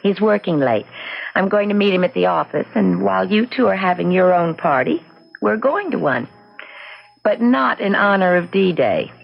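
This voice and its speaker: An actress playing a breezy young engaged daughter in a 1970s radio drama, playful and teasing, with a slight creak in her voice. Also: medium pitch, animated, moderate pace. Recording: dull lo-fi old radio broadcast.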